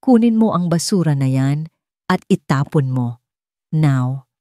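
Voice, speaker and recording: A woman's voice: deep voice, animated, a little slow, dark tone, no echo, clean studio sound. A woman with a Filipino accent voicing a falsely sweet, cold and controlling rich stepmother in an audio drama. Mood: cold, commanding. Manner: saccharine, turning curtly commanding.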